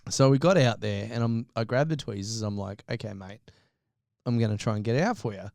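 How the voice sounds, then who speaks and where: A man's voice: lowish voice, fairly quick, creaky, a little mellow, no echo. A man co-hosting a podcast, telling stories in casual, sweary banter with a jokey manner. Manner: sweary, jokey, acts out quoted speech. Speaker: podcast co-host.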